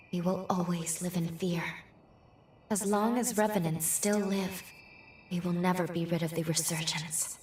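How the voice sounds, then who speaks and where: Game companion, female actor: earnest, polite young woman, sincere and measured, roomy, slightly distant sound with faint music. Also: on the low side, slight background noise, lightly acted. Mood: troubled, resolute. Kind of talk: video game cutscene acting.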